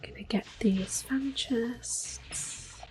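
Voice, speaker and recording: A woman speaking in a hushed, unhurried, gentle whisper as she gives ASMR game commentary. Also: on the high side, music in the background, low-quality recording. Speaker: host of an ASMR gameplay series. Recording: clicking controller noise. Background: controller clicks.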